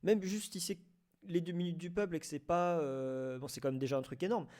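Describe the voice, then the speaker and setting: A man's voice: on the high side, fairly even intonation, brisk, darkish tone. A man in a casual, slangy discussion, speaking hesitantly and rambling with repeated phrases. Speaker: audio fiction debate participant.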